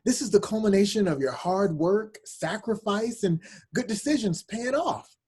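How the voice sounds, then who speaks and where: A man playing a proud, encouraging middle-aged father with a husky voice in a script table read. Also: high-pitched, lively intonation, normal speed, a little mellow.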